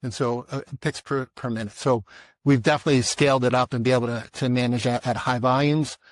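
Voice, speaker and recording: A man's voice: medium pitch, steady tone, dry recording. Man summing up a recycling project. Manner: quick, restarts phrases. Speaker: conference panelist. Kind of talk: industry presentation.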